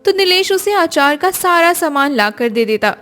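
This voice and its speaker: High-pitched woman narrating a story. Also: animated, normal speed, fairly bright tone.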